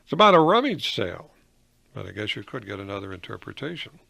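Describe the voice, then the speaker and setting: An older American man, a professor hosting a conversational radio essay in a folksy, wry, deliberate way. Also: on the low side, very animated, vocal fry, sharp tone. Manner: folksy, wry, chuckling asides, deliberate phrasing. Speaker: professor and host of a radio commentary.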